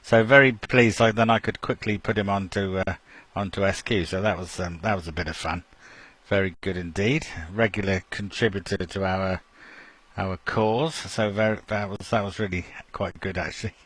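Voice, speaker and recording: A man's voice: low voice, fairly even intonation, normal speed, fairly bright tone. A man hosting an amateur radio net, chatting casually and laughing often between remarks. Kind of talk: casual over-the-air chat.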